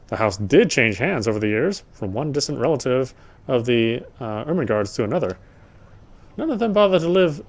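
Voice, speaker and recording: A man doing a let's play, reading game text aloud and adding casual, sardonic commentary. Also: mid-range voice. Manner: sardonic, informal. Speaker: let's player.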